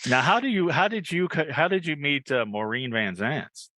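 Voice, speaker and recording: A man's voice: fairly high pitch, lively intonation, moderate pace, pushed and bright, no echo. A man hosting a podcast with casual banter, musing aloud and tossing in joking asides. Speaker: podcast host.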